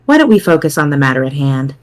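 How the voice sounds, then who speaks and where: A woman reading the part of a wry, formal, wordy teenage friend in an amateur webcomic dramatic reading, in a deadpan manner. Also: low voice, fast. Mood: dry, composed.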